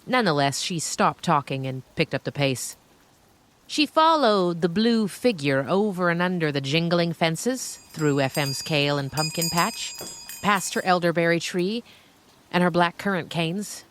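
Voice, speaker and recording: A woman with a low voice narrates scripted fiction. Her phrasing is measured and dramatic, with deliberate pauses as she paints each scene. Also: fairly bright tone. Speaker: storyteller, podcast narrator.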